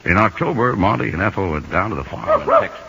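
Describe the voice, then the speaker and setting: An actor playing a laconic, gruff baseball pal who narrates a radio drama in a husky voice. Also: male voice, fairly low pitch, huge pitch swings, fast talker, sharp tone, full character voice. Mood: matter-of-fact. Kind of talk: 1950s radio scripted narration. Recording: muffled old radio, faint music.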